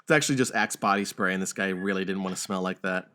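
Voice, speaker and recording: A man's voice: sits low, fast, pushed and bright. A man hosting a podcast, chatting casually in a wry, joking, mildly profane way. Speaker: podcast host. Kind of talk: casual chat about news and video games.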